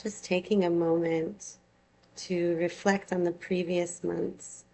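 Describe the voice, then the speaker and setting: A woman leads a guided meditation in a fairly low voice with a lot of vocal fry. She speaks very slowly, in soothing phrases with long pauses, as a yoga nidra teacher giving gentle instructions. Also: level tone.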